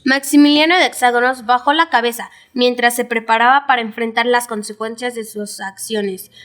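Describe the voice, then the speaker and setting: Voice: medium pitch, steady tone, normal speed, fairly bright tone. A schoolchild with a Mexican accent giving scripted narration for a school audio drama. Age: child.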